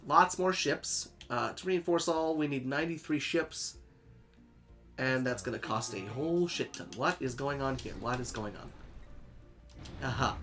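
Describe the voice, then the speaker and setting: A man's voice: fairly high pitch, a bit bright. A young man hosting a casual gaming let's play, chatty and upbeat, laughing easily, sounding a bit stuffy and sniffly from a cold. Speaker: gaming channel host. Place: home in Sweden.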